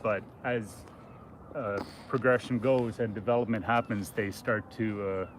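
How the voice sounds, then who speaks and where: A man hosting a casual unscripted walking livestream outdoors, explaining things in a halting, informal way. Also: lowish voice, steady tone. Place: outdoors on a city waterfront. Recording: noisy outdoor city audio with traffic.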